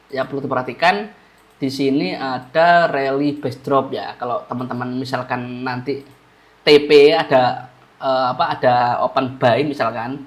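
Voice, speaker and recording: A man with a stuffy, blocked nose, feeling unwell, walking viewers through a chart analysis in a casual way and often repeating key phrases. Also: medium pitch. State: stuffy-nosed, under the weather. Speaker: trading analyst.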